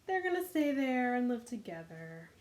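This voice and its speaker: A woman's voice: on the high side, big pitch swings, normal speed, a little hiss or hum. A woman hosting a children's storytime, reading aloud and explaining in simple, child-directed language. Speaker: library storytime host. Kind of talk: picture-book reading and craft demonstration.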